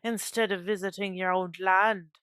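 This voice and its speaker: A woman reading the lines of a sharp-tongued young woman who keeps pressing with pointed questions. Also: medium pitch, steady tone, moderate pace, clear, resonant tone, no echo, clean studio sound, close to an everyday voice.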